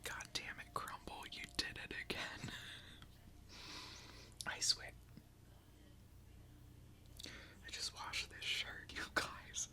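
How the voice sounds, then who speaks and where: A woman's voice: slow pace, long pauses, bright, forceful tone. A woman whispering casually into a close microphone for an ASMR video, chatty and giggly. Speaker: ASMR creator.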